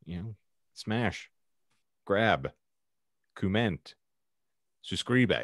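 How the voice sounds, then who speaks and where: A man's voice: lowish voice, expressive, extremely slow, dry recording, clean studio sound. A man hosting a casual podcast with florid, tongue-in-cheek, wordy phrasing. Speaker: podcast host. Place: indoors over a video call.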